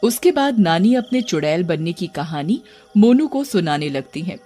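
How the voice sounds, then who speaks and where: A man's voice: sits high, big pitch swings, moderate pace, dark tone, faint music behind. A man narrating a scripted horror bedtime story as the storyteller.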